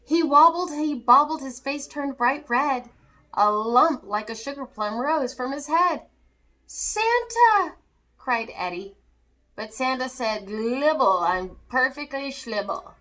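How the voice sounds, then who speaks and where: A woman reading a rhyming children's story aloud in an animated, theatrical way. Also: high-pitched, a little slow, low-quality recording. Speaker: teacher reading a picture book aloud to children.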